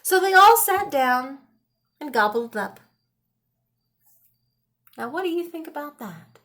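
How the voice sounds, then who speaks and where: A woman reading a children's storybook aloud in an animated narrating style. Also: sits high, measured pace. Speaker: storybook reader.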